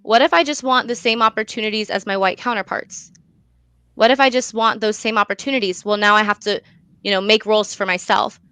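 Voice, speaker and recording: A woman's voice: medium pitch, fairly even intonation, brisk, bright, forceful tone, clear, resonant tone, no echo. A young adult woman answering in a conversational interview, earnest and emphatic, repeating phrases for stress. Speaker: guest, student actor and playwright.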